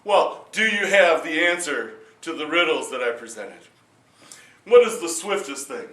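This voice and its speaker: A man voicing a loud, jolly, demanding king who laughs heartily and drags out his threats, recorded in a roomy space from a distance. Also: on the high side, lively intonation, unhurried. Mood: commanding. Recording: roomy echo, distant mic.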